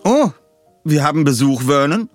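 An elegant young female secretary, voiced by a male reader. She is brisk, formal and bossy, in a raised pitch, in an audiobook with music. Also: animated, close to an everyday voice. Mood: surprised, welcoming.

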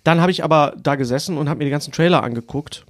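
A man's voice: fairly high pitch, very fast, dry recording. A man chatting casually as a film podcast host, emphatic and repeating himself to make a point.

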